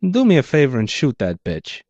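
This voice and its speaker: Man acting a theatrical, high-swooping clockwork gangster boss. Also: wildly swooping pitch, darkish tone, no echo, lo-fi sound. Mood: menacing. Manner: theatrical, taunting, drawn-out phrasing. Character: theatrical gloating male clockwork gangster boss with copper body. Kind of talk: dramatic audiobook character voice, noir pulp.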